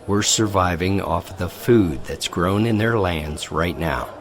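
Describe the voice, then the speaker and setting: A commanding army general with a very deep voice, measured and authoritative in an audio drama. Faint noise lies beneath. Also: male voice, animated.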